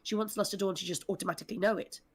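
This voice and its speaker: A woman's voice: medium pitch, steady tone, racing, husky. A woman speaking articulately and earnestly at length, explaining characters on a discussion panel.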